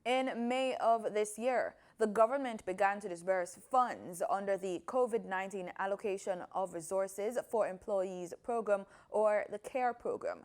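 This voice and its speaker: A woman's voice: medium pitch, measured pace, few pauses. A woman presenting scripted news as a news reader.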